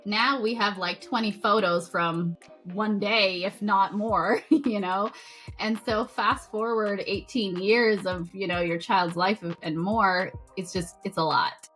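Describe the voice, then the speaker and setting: A woman's voice: mid-range voice, slow, a bit bright, faint music behind, poor audio. A woman who grew up in Canada, a photographer guest on a podcast, explaining her work in a thoughtful, earnest way. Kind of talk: casual interview chat.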